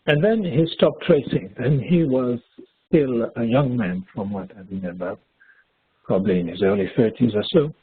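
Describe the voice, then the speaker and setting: Swiss French-accented male co-presenter, breathy husky tone, hesitant delivery, tinny lo-fi audio. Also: mid-range voice. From French-speaking Switzerland. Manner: hesitant, halting restarts. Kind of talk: conference presentation.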